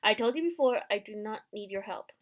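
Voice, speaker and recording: A woman's voice: medium pitch, expressive, brisk, lightly acted. A woman voicing a stubborn, guarded young prince in a clipped, dismissive way, as in a visual novel. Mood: curt, irritated.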